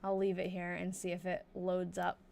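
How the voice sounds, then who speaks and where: A young woman with a New England accent, chatting casually on a live stream as she reads an old diary aloud slowly and thinks out loud. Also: on the low side, completely flat delivery, vocal fry. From New Hampshire. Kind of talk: casual livestream chat and slow diary reading.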